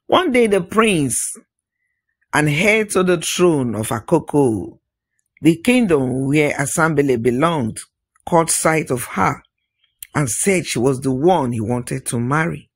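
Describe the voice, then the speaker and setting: A man narrating an African folktale as an oral storyteller, with dramatic phrasing, pauses and musing interjections, in a husky voice. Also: on the high side, expressive.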